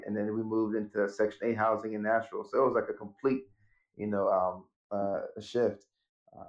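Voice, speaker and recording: A man in his thirties, born in Ghana, answering interview questions in a relaxed way, with many "uh" and "you know" fillers. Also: lowish voice, lo-fi sound. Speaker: guest, psychiatric nurse practitioner.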